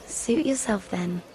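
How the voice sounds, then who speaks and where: An actress playing a genteel young handmaiden in a video game, confiding and somewhat hesitant. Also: female voice, medium pitch, a little mellow. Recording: faint music behind.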